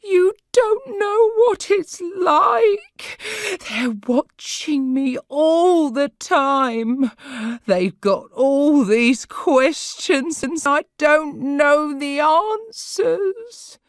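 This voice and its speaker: A woman voicing a confused, forgetful elderly woman who talks slowly and gets upset easily. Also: sits low, very animated, gravelly, no room sound, clean studio sound, heavily acted. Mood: distressed. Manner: slow, wandering, easily upset.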